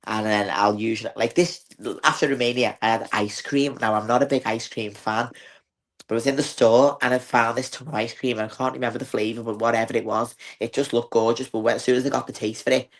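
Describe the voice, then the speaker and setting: A woman with a Liverpool accent, chatting as a guest in an interview and filling her talk with "like". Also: rumbling low. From north of England. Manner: chatty, many fillers like "like". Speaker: bodybuilder guest. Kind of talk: casual interview chat.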